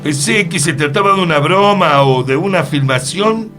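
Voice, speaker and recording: An actor playing an earnest, mysterious storyteller in a radio play, pausing for dramatic effect. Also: male voice, on the high side, fairly bright tone, soft background music, lightly acted. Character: earnest, mysterious male storyteller recounting an enchantment. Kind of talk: radio theatre acting.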